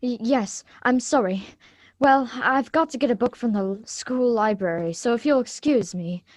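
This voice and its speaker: A woman's voice: a little high, normal speed, no echo, natural voice. A woman playing an eager, polite schoolgirl granddaughter in a script reading of a radio drama, earnest and sometimes stumbling over lines. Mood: timid.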